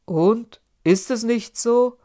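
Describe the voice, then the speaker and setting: A woman voicing a boastful, greedy male giant in an audiobook, speaking loudly and scornfully. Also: a little slow, lightly acted. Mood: insistent. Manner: loud, booming, scornful. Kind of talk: audiobook character voice.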